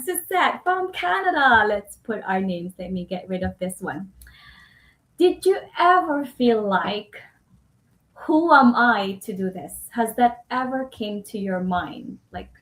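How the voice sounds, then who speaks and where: A chatty woman hosts a live stream, often laughing and restarting her sentences. Her voice sits a little high. The sound carries a roomy echo. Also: a bit bright. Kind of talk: casual live-stream chat.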